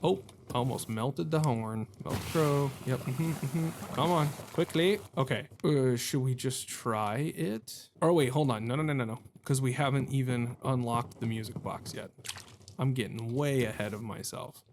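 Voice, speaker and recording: Male gamer, mid-pitched, wry, jokey, thinking aloud, casual let's-play chatter, clear background noise. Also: measured pace, a little mellow. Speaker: gaming video host. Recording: game ambience and noise behind voice.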